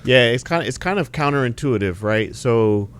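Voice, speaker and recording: A man co-hosting a podcast as a basketball trainer, speaking slowly and earnestly and often agreeing. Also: medium pitch, expressive, a bit bright, clean tone. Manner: slow, earnest, agreeable with frequent affirmations. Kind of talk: casual podcast chat.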